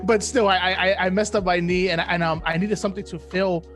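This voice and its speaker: Male interview guest, 30, New Jersey accent. Distinctly high voice, playful and informal, circling back over his phrases. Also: fairly even intonation, brisk, a bit bright, soft background music. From New Jersey, United States. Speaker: interview guest, esports caster and content creator. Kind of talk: casual interview chat.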